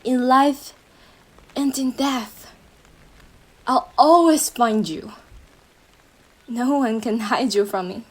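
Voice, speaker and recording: An obsessive girlfriend, played by a woman, speaks straight to her beloved in an intimate audio roleplay. Her voice is fairly high and very slow, lingering over pet names. The sweetness is possessive and unhinged, with a threat beneath it. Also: breathy edge. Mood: obsessive, tender. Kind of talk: roleplay audio drama, intimate monologue addressed to listener.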